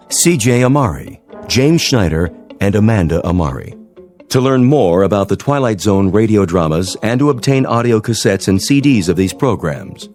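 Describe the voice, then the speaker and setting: A man's voice: on the low side, a little mellow. A man announcing in a scripted style, reading radio drama credits. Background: faint music.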